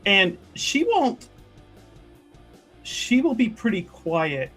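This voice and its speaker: A man improvising third-person narration as a tabletop roleplaying player, casual and halting, often correcting himself. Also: sits high, big pitch swings, bright, forceful tone, soft background music.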